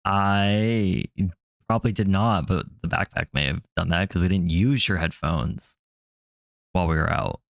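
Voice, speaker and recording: A man chatting calmly and matter-of-factly with friends during a game stream. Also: low-pitched, a little slow, vocal fry, dry recording. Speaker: streamer's partner. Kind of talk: casual chat while gaming.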